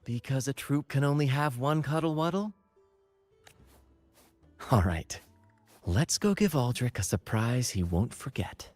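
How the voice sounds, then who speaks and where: An actor playing a suave troupe performer and adventurer in a video game, with a theatrical, flowery and playful way of talking. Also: male voice, mid-range voice, expressive, a little slow, pauses often, darkish tone, no echo, soft background music. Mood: showy, mischievous. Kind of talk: video game character acting.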